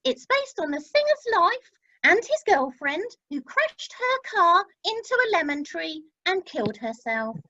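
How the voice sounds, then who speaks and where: A woman's voice: extremely high pitch, sing-song, unhurried, pushed and bright, heavily acted. A woman playing a bubbly, gushing cruise ship entertainer in an amateur radio play, recorded over a video call. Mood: gushing, cheery. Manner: gushing, cheery announcer patter. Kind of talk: amateur radio drama acting. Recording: dull lo-fi video call audio.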